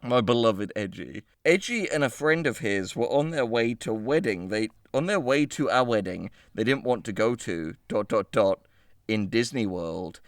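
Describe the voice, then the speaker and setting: A man's voice: medium pitch, lively intonation, normal speed, dry recording, studio quality. A man hosting a casual comedy podcast, bantering and reading posts aloud in a sweary, mock-exasperated way. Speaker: podcast host.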